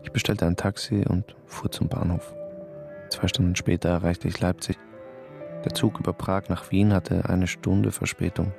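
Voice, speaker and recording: Low gravelly man narrating a radio play. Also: flat delivery, normal speed, over music. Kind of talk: first-person radio drama narration.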